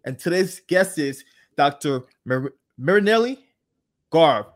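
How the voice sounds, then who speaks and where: Man, podcast host, upbeat, enthusiastic, slightly higher voice. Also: expressive, unhurried. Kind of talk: podcast hosting and interviewing.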